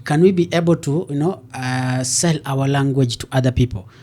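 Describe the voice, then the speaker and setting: A man's voice: medium pitch, moderate pace. A man with a Kenyan accent hosts a podcast interview and keeps murmuring "mm-hmm" and "yeah" in agreement. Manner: steady stream of affirming "mm-hmm" and "yeah". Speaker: podcast host. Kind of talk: interview conversation.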